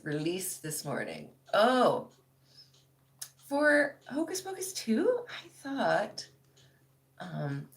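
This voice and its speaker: Gravelly, chatty woman livestreaming. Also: medium pitch, a bit bright. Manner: chatty, laughs often, reads comments aloud. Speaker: livestream host reading chat. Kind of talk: casual live chat.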